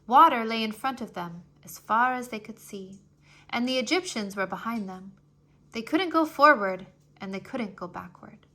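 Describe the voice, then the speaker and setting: A woman reading a children's history story aloud in an expressive, deliberate, scripted storytelling manner. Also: a little high. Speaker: storybook reader.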